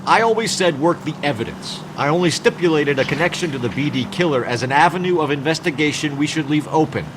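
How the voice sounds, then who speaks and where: A man's voice: fairly high pitch, expressive, quick pace, few pauses, background noise, close to an everyday voice. An American man playing a principled 1940s police detective in a crime game, precise, formal and earnest. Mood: firm. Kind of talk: period crime game acting.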